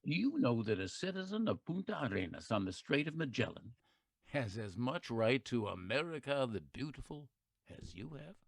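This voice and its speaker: A man's voice: mid-range voice, big pitch swings, normal speed, creaky. A man playing a folksy, plainspoken patriotic narrator in a scripted radio play, conversational, wry and emphatic. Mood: wry, earnest. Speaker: narrator and host of an audio play.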